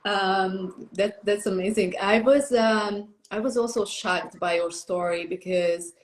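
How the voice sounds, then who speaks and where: A woman's voice: medium pitch, fairly even intonation, slow. Woman, from Romania, interviewer, casual chat, enthusiastic, fillers, echoey room. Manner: warm, enthusiastic, with frequent fillers and laughs. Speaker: interviewer, book compiler.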